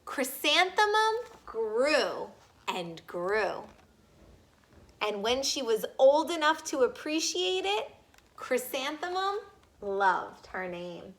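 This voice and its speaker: A woman reads a children's story aloud in a high, very expressive voice. She takes her time with the sentences and turns to her young listeners with simple questions. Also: takes it slowly. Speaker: storyteller reading a picture book aloud to children.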